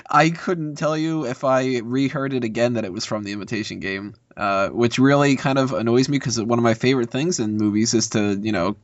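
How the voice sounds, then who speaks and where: A man's voice: mid-range voice, normal speed, no room sound, muffled recording. Casual man on a dry podcast mic. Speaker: podcast panelist. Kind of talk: casual opinionated movie chat.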